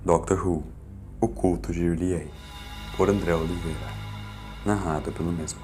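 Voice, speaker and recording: A Brazilian man narrating a scripted fan audiobook in a solemn, deliberate, dramatic way. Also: sits low, steady tone, over music.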